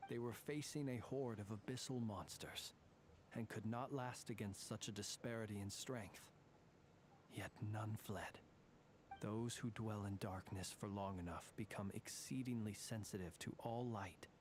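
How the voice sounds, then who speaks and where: A man voicing an ancient male fae in human guise in a video game, calm and formal, who sometimes drops to near whispers. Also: lowish voice, measured pace, a little hiss or hum, lightly acted. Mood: solemn. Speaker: lightkeeper guard.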